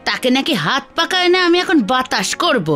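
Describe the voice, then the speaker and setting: A woman voicing a sharp-tongued, weary village wife in a cartoon, scolding and complaining theatrically. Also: high voice, big pitch swings, fairly quick, faint music behind, heavily acted. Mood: irritated, scolding. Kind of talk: Bengali children's cartoon dialogue.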